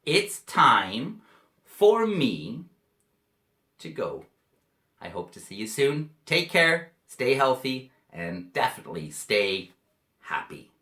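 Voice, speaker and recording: Slow-talking man teaching kids. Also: mid-range voice, huge pitch swings, bright, forceful tone. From Canada, British Columbia. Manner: slow, simple, friendly speech to kids. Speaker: English teacher for children. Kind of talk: video lesson for young pupils. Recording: roomy echo.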